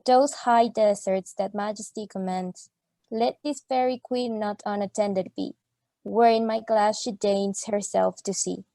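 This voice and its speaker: A woman's voice: mid-range voice, steady tone, unhurried, mellow, no room sound, close to an everyday voice. A woman playing an exasperated young student in a radio play, declaiming in mock Shakespearean English. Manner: declamatory, archaic phrasing.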